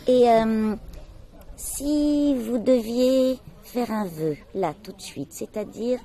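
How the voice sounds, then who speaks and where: A woman's voice: fairly high pitch, slow, warm tone. An older woman who volunteers and conducts a casual interview, talking in a chatty, direct and encouraging way. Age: older adult.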